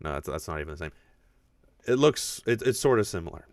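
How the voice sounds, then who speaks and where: A man hosting a video podcast, talking casually and excitedly with frequent restarts in a deep voice. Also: fairly quick, dry recording. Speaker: podcast host.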